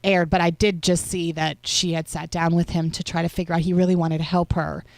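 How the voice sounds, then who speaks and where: A woman's voice: on the low side, little pitch movement, a little fast, dry recording. A woman co-hosting a radio show, reading entertainment headlines and chatting about them casually. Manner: chatty, reads headlines then riffs. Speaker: radio co-host. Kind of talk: casual entertainment news chat.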